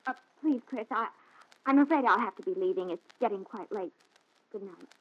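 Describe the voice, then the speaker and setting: A woman playing a gentle, forgiving, uneasy former sweetheart in a refined, hesitant 1940s radio drama style. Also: medium pitch, normal speed, slightly rough, natural voice. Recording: dull vintage 1940s radio recording.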